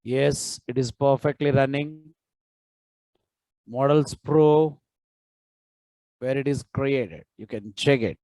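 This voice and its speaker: A man's voice: medium pitch, slow, long pauses, dark tone, no room sound, rough recording. A man teaching a live online coding class, nudging his students to respond and repeating his prompts. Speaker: programming instructor.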